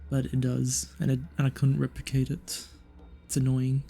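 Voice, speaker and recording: A man's voice: medium pitch, completely flat delivery, normal speed, vocal fry, mellow. A man giving casual, puzzled, hesitant gameplay commentary, trailing off and repeating himself. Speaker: gaming video narrator. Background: game music.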